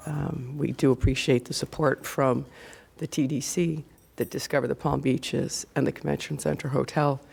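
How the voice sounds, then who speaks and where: A man speaking as a county commissioner in a public meeting, hoarse and losing his voice, raising points aloud in a conversational way into a desk microphone. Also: medium pitch, little pitch movement, moderate pace, a little mellow. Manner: gravelly, conversational, thinking aloud. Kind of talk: formal public meeting remarks.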